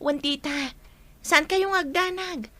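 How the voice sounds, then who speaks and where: A woman playing a brisk, take-charge young friend in an amateur audio drama, with an Ilocano accent. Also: sits high, sharp tone, no echo, full character voice. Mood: reassuring.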